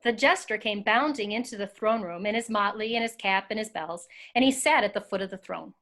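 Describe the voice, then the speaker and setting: A woman with a medium-pitched voice serves as narrator. She reads scripted storybook lines for a readers theater piece. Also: fairly quick, a bit bright.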